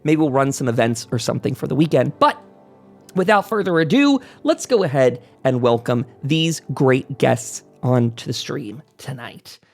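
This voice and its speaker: Male host for a gaming round-table stream: informal, talkative, very animated pitch. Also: moderate pace, creaky, soft background music. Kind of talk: chatty livestream hosting.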